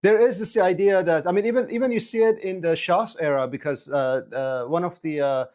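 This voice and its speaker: A man's voice: sits high, a little fast, darkish tone. A man hosting a casual conversation, speaking hesitantly with many filler sounds. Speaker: host.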